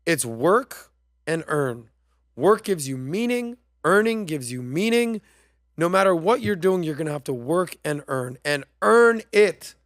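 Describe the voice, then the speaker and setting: A man's voice: fairly high pitch, very animated, measured pace, dry recording, clean studio sound. A man hosting a podcast, talking in a casual, emphatic and slangy way while commenting on played clips. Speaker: podcast host.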